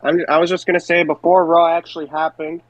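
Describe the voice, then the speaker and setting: A man's voice: on the high side, level tone, quick pace, dry recording. A man co-hosting a podcast, chatting casually about pro wrestling on a dull, lo-fi mic. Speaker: podcast co-host.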